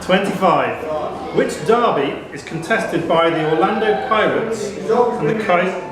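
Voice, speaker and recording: High-pitched male quizmaster in echoey pub. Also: unhurried. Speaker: pub quiz host and question reader. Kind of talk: live quiz reading with off-the-cuff banter. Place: live pub quiz in a busy room. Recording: echoey noisy pub, crowd chatter.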